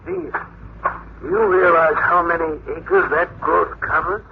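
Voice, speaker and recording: An earnest older doctor of science in a vintage radio play, with a slightly high voice. He speaks in grave, deliberate declarations through a thin, band-limited recording with faint hiss. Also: vocal fry. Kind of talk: 1950s radio drama acting. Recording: tinny, hissy old radio recording.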